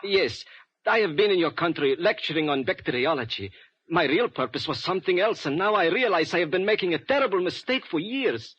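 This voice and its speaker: A high-voiced, frightened professor. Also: male voice, expressive, a bit bright, breathy edge, heavily acted. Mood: anxious, secretive. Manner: halting, formal, urgent speech. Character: frightened foreign professor in his sixties, defecting, formal. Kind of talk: 1950s radio drama acting. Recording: muffled old radio recording.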